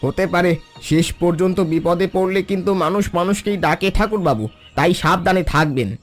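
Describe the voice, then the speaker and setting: A man's voice: sits high, normal speed, soft background music, natural voice. A man voicing a poor, long-suffering fisherman in a cartoon, earnest and dignified. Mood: wounded defiance. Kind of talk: scripted cartoon dialogue.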